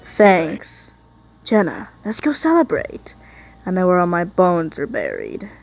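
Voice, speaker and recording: A woman's voice: on the low side, wildly swooping pitch, measured pace, gravelly, soft background music, heavily acted. A woman voicing an arrogant, taunting male sled dog in a cartoon fandub, with a smug, mocking drawl. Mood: smug flirting. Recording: noisy amateur fandub recording.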